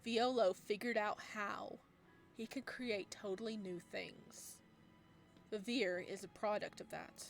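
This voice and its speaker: A woman voicing an ancient male god from a visual novel, gentle and soft-spoken, stammering when flustered. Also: medium pitch, steady tone, slow pace, vocal fry, soft background music. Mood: calm. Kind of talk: visual novel dialogue read aloud.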